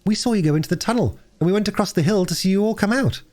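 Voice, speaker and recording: A man's voice: fairly high pitch, wildly swooping pitch, moderate pace, voice pushed up. A man voicing a proud, practical and bossy schoolboy in a storybook reading.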